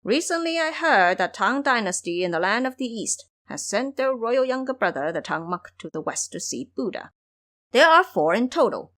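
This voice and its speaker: A woman's voice: on the high side, very animated, moderate pace, fairly bright tone, pitched above the performer's own voice. A woman voicing a commanding male monster king who explains and orders with authority, in an audio story.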